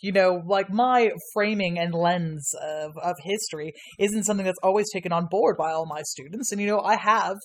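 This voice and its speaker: A woman's voice: on the low side, normal speed, few pauses. A woman guest on a casual podcast, a history lecturer who rambles through stories with constant fillers, frequent laughs and casual swearing.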